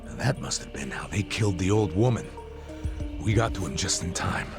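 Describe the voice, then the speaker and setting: A man's voice: deep voice, animated, normal speed, creaky, music in the background. A man playing a tough, terse, plain-spoken ally of a gangster hero in a game cutscene. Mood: grim. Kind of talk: dramatic game cutscene voice acting.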